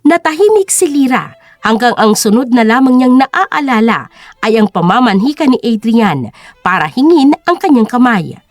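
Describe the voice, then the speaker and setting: A woman's voice: fairly high pitch, very animated, normal speed, dry recording. A woman narrating a scripted drama story in a dramatic storytelling style.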